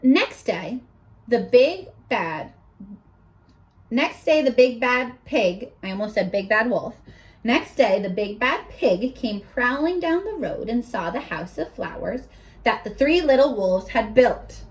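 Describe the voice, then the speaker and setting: A woman's voice: fairly high pitch, slow pace. A woman teacher reading a picture book aloud to children, stopping to explain words and ask for their predictions. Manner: animated, asks children questions.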